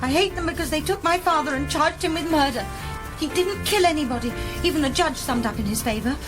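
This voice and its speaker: A woman's voice: sits high, fast, music in the background, close to an everyday voice, low-quality recording. A woman playing an anxious young woman on the run, earnest and pleading, in a vintage radio detective drama. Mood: distressed, defensive.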